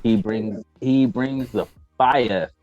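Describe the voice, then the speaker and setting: A man co-hosting a casual gaming chat, expressive and slangy, often acting out quotes as he talks. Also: mid-range voice, takes it slowly, no echo, muffled recording, soft background music. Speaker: co-host of a gaming talk show.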